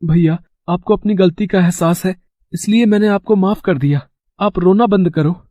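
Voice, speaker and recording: A man voicing an honest, humble young village brother in a storybook tale, earnest and gentle, on a dull recording. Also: sits high, moderate pace, no room sound, close to an everyday voice. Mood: forgiving. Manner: earnest, gentle, simple-hearted.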